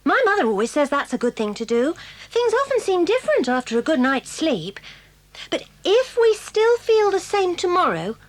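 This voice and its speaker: A woman's voice: extremely high pitch, very animated, normal speed. An actress with an English accent playing an earnest, fair-minded schoolgirl in a children's audio drama. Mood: earnest, reluctant.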